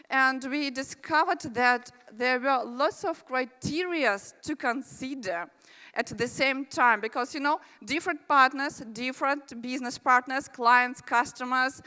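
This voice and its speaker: A woman's voice: sits high, sharp tone, smooth. A woman from Russia with a Russian accent, giving a chatty conference presentation with friendly asides to the audience. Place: large conference hall. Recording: reverberant hall.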